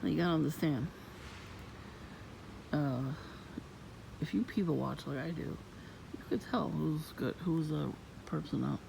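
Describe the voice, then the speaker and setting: Lady with a low gravelly voice rambling on, kinda suspicious, soft-spoken, loud street noise behind her. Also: a little slow, pauses often. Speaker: vlogger. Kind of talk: casual rambling monologue. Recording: noisy outdoor ambience.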